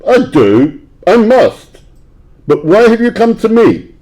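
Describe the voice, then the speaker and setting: A man playing a grumpy, frightened old miser in an audio play, theatrical and shifting between gruff scorn and pleading. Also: high voice, big pitch swings, slow, a little mellow, full character voice, rough recording. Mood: terrified, pleading. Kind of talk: library audio play acting.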